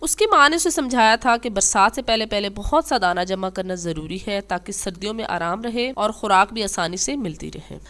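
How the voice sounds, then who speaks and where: A woman's voice: mid-range voice, normal speed, few pauses, fairly bright tone. A woman narrating a scripted children's moral tale as a storyteller.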